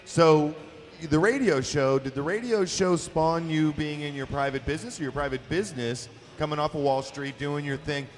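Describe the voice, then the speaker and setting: A man's voice: fairly high pitch, moderate pace, long reverb tail, slight background noise. A man co-hosting a talk show, laid-back and slangy. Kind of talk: casual interview chat.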